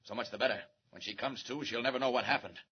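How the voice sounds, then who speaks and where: An actor playing a calm, wry male reporter who is secretly a superhero, in a 1940s radio serial. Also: medium pitch, fast, close to an everyday voice. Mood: determined. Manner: calm, wry and self-assured. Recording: muffled old radio recording with hiss.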